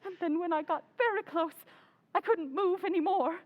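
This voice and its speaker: A woman's voice: very high voice, moderate pace, highs cut off. A woman playing a bossy, scornful yet frightened wife, imperious and anxious, in old-time radio horror style. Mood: fearful.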